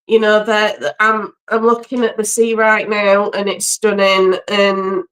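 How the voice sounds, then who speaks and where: A woman from England, a guest on a live stream, chatting warmly and laughing often over a video call from home. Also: medium pitch, monotone, measured pace, rough recording. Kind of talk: casual live-stream chat. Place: home by the sea.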